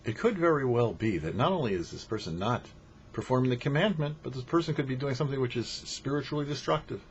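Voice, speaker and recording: Animated male rabbi, dull lo-fi recording. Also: mid-range voice, fast talker. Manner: emphatic, didactic, asks and answers rhetorical questions. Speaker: rabbi hosting a weekly Torah portion show. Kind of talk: short sermon, explanatory religious teaching. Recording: dull lo-fi audio with faint noise.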